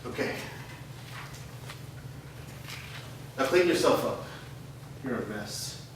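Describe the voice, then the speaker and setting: A man's voice: medium pitch, expressive, moderate pace, natural voice. A man playing a menacing, controlling father at a staged play reading, threatening and cold. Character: menacing abusive middle-aged stepfather Kevin. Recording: echoey room from far off, noisy.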